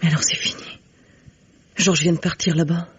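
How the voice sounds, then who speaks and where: An actress playing a resolute, protective widow in a French radio mystery, husky and earnest, on a dull old recording. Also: female voice, fairly low pitch, little pitch movement, moderate pace, a little hiss or hum, close to an everyday voice. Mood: alarmed.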